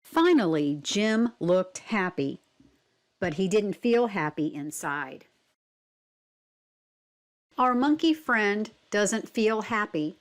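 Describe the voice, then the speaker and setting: A woman's voice: mid-range voice, lively intonation, slow pace, long pauses. A woman reading a picture book aloud to children, explaining simply and asking guiding questions. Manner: simple, explanatory, addressing young listeners. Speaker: read-aloud narrator.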